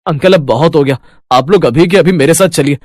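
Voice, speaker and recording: A man's voice: a little high, a little fast, dry recording, natural voice. A man voicing an earnest, bold young village hero in a cartoon moral story. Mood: urgent.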